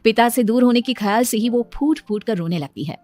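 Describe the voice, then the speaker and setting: A woman's voice: on the high side, a little fast, darkish tone, soft background music. A woman narrator reading a scripted story in a storytelling style.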